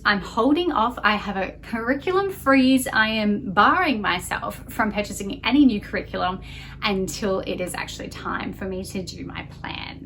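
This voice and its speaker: A woman in her thirties giving a casual, conversational review to camera as a homeschooling parent, softening her points as she goes. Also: medium pitch. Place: small home office, indoors. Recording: clear background room noise.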